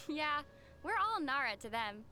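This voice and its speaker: A woman playing a young, easygoing, gently teasing traveler in a video game. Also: high voice, big pitch swings, unhurried, soft background music, exaggerated voice. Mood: amused.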